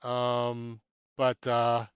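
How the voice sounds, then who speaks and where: A man's voice: medium pitch, monotone, very slow, clean tone, dry recording, dull audio. A man hosting a casual amateur astronomy live stream from inside a small tent, chatting with viewers, thanking them by name, rambling with many fillers, and a little stuffy-nosed.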